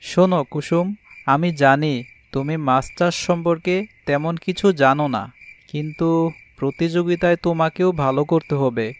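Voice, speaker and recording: A man's voice: fairly high pitch, moderate pace, close to an everyday voice. A man voicing a husband bird in a children's moral cartoon, explaining things patiently and fairly. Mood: caring, advising. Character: husband bird with two wives, advising and fair.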